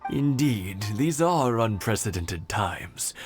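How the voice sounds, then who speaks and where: A man's voice: fairly low pitch, expressive, takes it slowly, music in the background. An actor playing a polite, grateful elderly villager, a hospitable old man in a video game. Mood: gracious, grateful. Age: older. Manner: polite, warm-hearted, hospitable.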